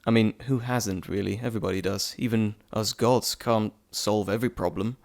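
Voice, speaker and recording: A man voicing a playful, fond male messenger god in an intimate audio roleplay, chatty and teasing with theatrical pauses. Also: fairly low pitch, steady tone, gravelly, a little mellow. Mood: earnest, reassuring.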